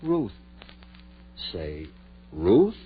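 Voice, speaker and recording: An actor playing a guilt-ridden, desperate doctor in a 1940s radio horror drama, melodramatic and overwrought. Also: male voice, low voice, huge pitch swings, drawn-out words, exaggerated voice. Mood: desperate hope. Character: anguished guilt-ridden doctor, desperate, devoted to revived woman. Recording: muffled, noisy, humming vintage 1940s radio recording.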